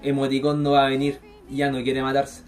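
A needy, boastful Chilean teenage boy, played by a young man in a radio drama. The voice is mid-pitched, with faint music underneath. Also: monotone, brisk, darkish tone, close to an everyday voice. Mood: defiant. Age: young adult. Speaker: student performer. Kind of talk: radio theatre acting.

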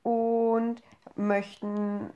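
Female podcast co-host, early thirties, from Upper Franconia, medium pitch, conversational delivery. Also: little pitch movement, extremely slow, dark tone, lo-fi sound, a little hiss or hum. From Upper Franconia, Germany. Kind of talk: casual conversational podcast talk.

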